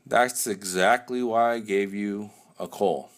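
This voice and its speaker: A young man playing a shady older businessman on a phone call, speaking in a low, clipped way. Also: fairly even intonation, slow. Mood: cool. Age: young adult.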